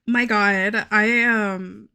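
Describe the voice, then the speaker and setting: A woman's voice: mid-range voice, steady tone, very slow, sharp tone, clear, resonant tone. A young woman with a Southern US accent, chatting casually and rambling on a live stream, swearing and laughing often. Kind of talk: casual chat while drawing on a live stream.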